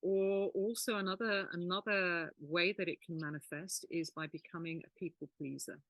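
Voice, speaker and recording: A woman co-hosting a healing channel who explains things in a calm, reflective way. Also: on the low side, moderate pace, dry recording. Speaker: healing course teacher and co-host. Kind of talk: teaching conversation.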